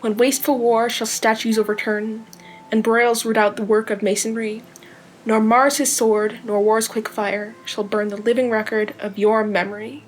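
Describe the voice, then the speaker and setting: A devoted lover proclaims lasting praise in verse, performed by a young woman. The voice sits fairly high, with faint noise behind it. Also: level tone, moderate pace, close to an everyday voice, low-quality recording. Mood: devoted, resolute. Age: young adult. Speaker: student drama performer. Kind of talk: dramatic monologue reading of verse.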